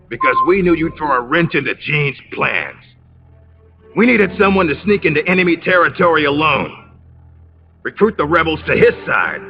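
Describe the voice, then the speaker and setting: An actor playing a scheming, bitter military officer in a video game cutscene, talking in a condescending, theatrical, taunting way. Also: male voice, fairly high pitch, vocal fry. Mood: mocking. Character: smug, scheming traitorous male military officer, bitter and mocking. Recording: muffled game audio under loud music.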